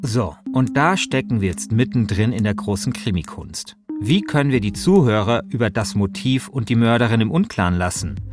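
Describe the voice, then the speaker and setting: A man's voice: fairly low pitch, expressive, moderate pace, hardly any pauses. Man narrating over a music bed. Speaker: podcast host and narrator. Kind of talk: scripted narration. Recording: clear music bed under the voice.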